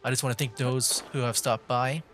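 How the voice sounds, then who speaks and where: A man's voice: mid-range voice, fairly even intonation, fairly quick, a bit bright, slight background noise. A man giving casual livestream game commentary, mildly meandering as he thinks aloud. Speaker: streamer, VTuber.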